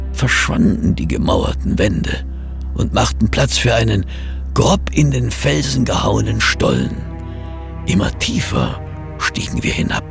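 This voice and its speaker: Amazed detective's companion, expressive radio play delivery, fry in the voice, swooping intonation. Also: male voice, fairly low pitch. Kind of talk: radio play acting with narration.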